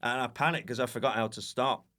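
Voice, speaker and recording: A man's voice: medium pitch, fairly even intonation, fast, bright, forceful tone. A man, a comedian guest, chatting casually and telling rambling, self-deprecating anecdotes.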